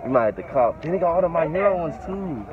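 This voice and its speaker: A young man filming a casual vlog at an outdoor swap meet, talking in a relaxed, slangy way with plenty of filler phrases, phone held at arm's length. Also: a little high, fast talker, lo-fi sound, crowd noise. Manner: laid-back slangy talk, lots of filler phrases. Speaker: vlogger.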